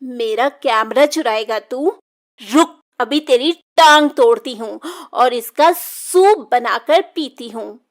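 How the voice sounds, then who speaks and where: Cartoon witch, acted by a woman: young female, high-pitched, menacing and mocking, drawn-out threats. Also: lively intonation, full character voice. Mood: vengeful. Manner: threatening, taunting, with drawn-out words and cackles. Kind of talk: children's cartoon horror-comedy voice acting.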